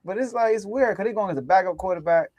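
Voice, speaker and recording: High-voiced man in loose sports banter. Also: quick pace, darkish tone, no echo. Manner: rambling, laughs often, many restarts. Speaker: sports show host. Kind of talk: casual sports chat.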